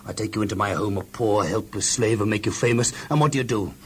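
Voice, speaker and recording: A man's voice: on the low side, fast, husky. A man playing an outraged, commanding captain and wronged husband in a children's audio Bible drama, accusing and authoritative. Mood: angry.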